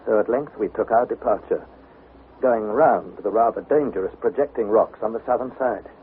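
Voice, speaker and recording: A man's voice: lowish voice, normal speed, phone-quality sound, lightly acted. An actor playing an earnest, anxious young nephew, precise and dramatic as he narrates and acts in an old radio adventure. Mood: tense, measured. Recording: thin, noisy vintage radio recording.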